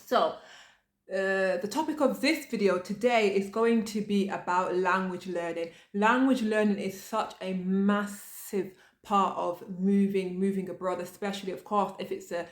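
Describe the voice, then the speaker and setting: A woman's voice: mid-range voice, a little slow, roomy sound. A woman from the UK giving casual advice to camera in a chatty manner, with frequent fillers and restarts. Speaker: vlogger.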